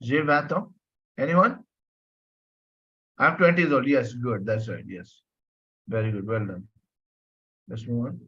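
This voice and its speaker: A man's voice: mid-range voice, measured pace, long pauses, fairly bright tone, husky. A man teaching a French class online, patiently explaining phrases, repeating himself and asking students questions. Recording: dull lo-fi audio.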